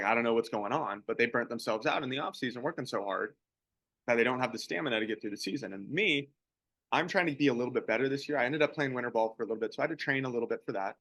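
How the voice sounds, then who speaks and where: A young man who plays pro baseball, co-hosting a podcast and chatting in a relaxed, rambling way. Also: medium pitch, dry recording, poor audio. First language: English. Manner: relaxed, rambling, many restarts. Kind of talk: casual podcast chat.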